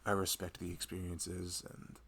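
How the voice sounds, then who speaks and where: A young man playing a loving, earnest husband chatting casually and intimately with his pregnant wife in an ASMR roleplay, rambling and halting as he goes. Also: low-pitched, completely flat delivery, fairly bright tone, slightly rough, heavily acted.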